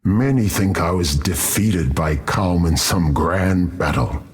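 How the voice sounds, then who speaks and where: Very deep, gravelly jovial warrior. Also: male voice, slow, exaggerated voice. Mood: amused, exultant. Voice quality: gravelly, husky. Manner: hearty, boastful, teasing.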